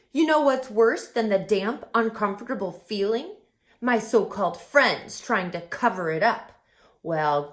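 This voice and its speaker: A woman's voice: a little high, a bit bright, heavily acted. A woman voicing an indignant male bear who accuses his friends in a huffy, dramatic way. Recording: roomy echo.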